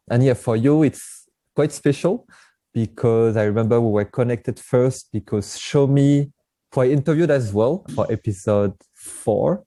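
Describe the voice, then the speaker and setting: A man's voice: mid-range voice, dark tone, no room sound. A man hosting a podcast, introducing his guest and chatting in an eager, friendly way with frequent laughs. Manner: enthusiastic, chatty, laughs often. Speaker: podcast host. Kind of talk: casual interview, scripted intro.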